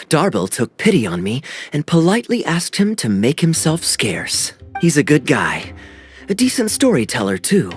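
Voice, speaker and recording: An actor playing a charming, playful young adventurer in a video game cutscene, with a smooth, easy delivery. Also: male voice, mid-range voice. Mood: easygoing. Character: suave, teasing young adult male adventurer. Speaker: game character.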